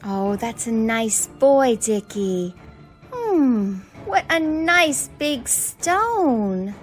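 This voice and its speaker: A woman's voice: fairly high pitch, big pitch swings, very slow, soft background music, exaggerated voice. A woman playing a folksy village mother in a radio drama, coaxing in a sing-song way. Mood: sweetly coaxing. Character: folksy village mother, sweetly coaxing yet chillingly eager.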